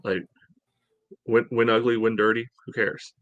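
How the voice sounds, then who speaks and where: A man with a fairly low voice talks sport as a podcast co-host. He is casual and halting, padding his phrases as he goes, and the sound is dry and close. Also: level tone, dry recording, narrow, tinny audio. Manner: halting, peppered with "you know". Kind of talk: casual sports analysis chat.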